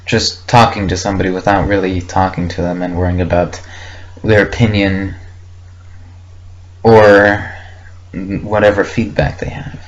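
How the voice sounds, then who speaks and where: A man's voice: low-pitched, little pitch movement, measured pace, long pauses. A young man recording a casual, unscripted audio log, thinking aloud hesitantly and reflectively in short fragments. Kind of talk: casual unscripted rambling monologue. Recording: muffled lo-fi with faint background noise.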